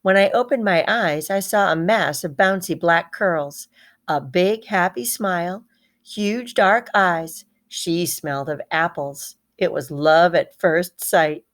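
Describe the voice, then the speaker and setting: Woman reading as a proud little boy hamster telling his storybook tale, dramatic, lowish voice. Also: takes it slowly. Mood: wistful, eager. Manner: dramatic, repeats words for emphasis. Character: small male pet hamster, bright, proud, heartbroken storyteller. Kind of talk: children's storybook read-aloud in first person.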